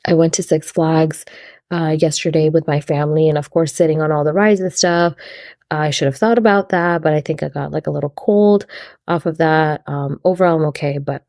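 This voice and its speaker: Young woman podcaster, stuffy cold voice, low and mellow, dry close sound. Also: steady tone, fairly quick, dry recording. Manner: friendly, open, with fillers. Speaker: podcast host. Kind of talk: casual solo podcast talk.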